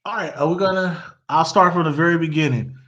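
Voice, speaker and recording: Animated high-voiced man talking football. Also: fast, muffled recording. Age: middle-aged. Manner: animated, storytelling, quizzes his guest. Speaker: podcast host. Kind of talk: casual sports chat.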